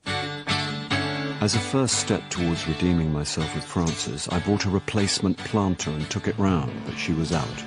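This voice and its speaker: An actor playing a roguish, hard-drinking, middle-aged British actor-sleuth in a radio comedy mystery, wry and cheeky. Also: male voice, low-pitched, very animated, measured pace, loud music behind the voice. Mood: dry narration. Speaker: actor playing the lead and narrator. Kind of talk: radio comedy-mystery drama acting, with dry first-person narration.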